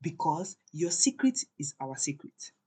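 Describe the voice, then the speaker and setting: A woman's voice: low-pitched, very animated, a little slow, warm tone, husky, exaggerated voice. A woman voicing a cool, ruthless, scheming wife in a folktale, firm and calculating. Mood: cold resolve.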